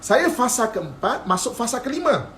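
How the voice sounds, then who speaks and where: A man's voice: extremely high pitch, big pitch swings, normal speed, fairly bright tone, slight background noise. Playful Malaysian religious teacher, man. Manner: playful, keeps checking if listeners follow, chuckles often. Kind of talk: live-streamed religious lecture.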